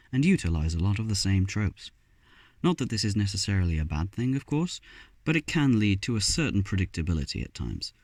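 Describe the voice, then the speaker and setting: Low-voiced man narrating a video essay. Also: a little mellow, dry recording. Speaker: video host.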